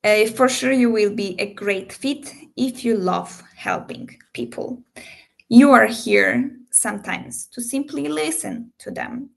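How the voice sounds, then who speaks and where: A woman hosts a live recruiting Q&A and talks through the job at a slow, deliberate pace. Her voice carries a gravelly, creaky edge. She fills pauses with frequent ums and uhs, and her phrasing is slightly non-native. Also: on the high side, big pitch swings. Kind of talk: live Q&A, explaining job details.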